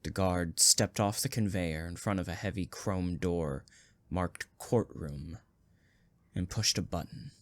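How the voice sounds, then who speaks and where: Man acting a condemned poet prisoner, low voice, slow delivery, anguished, theatrical, dystopian audio drama narration. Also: slight background noise. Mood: tense, grim. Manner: theatrical, anguished, swelling from hushed to urgent. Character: condemned male poet prisoner, frightened, brooding, recounting his nightmare. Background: music.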